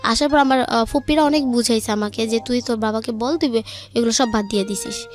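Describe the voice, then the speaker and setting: Young female guest, high voice, Bangladeshi accent, informal personal storytelling over faint music. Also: brisk. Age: young adult. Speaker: guest telling her life story.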